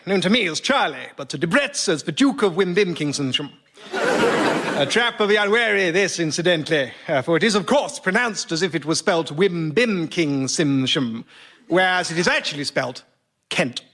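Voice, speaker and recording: An actor playing a pompous, old-fashioned upper-class English gentleman telling a digressive tale in a radio comedy. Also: fairly high pitch, sing-song, moderate pace. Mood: pompous, droll. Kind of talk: radio sketch comedy storytelling.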